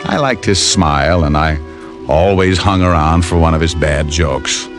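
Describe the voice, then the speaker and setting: An actor playing a wry, self-assured American private detective in an old radio drama, breezy and teasing. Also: male voice, a bass voice, measured pace, music in the background. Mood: wry narrating. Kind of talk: 1940s-50s radio drama, first-person narration and dialogue. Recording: old-time radio recording.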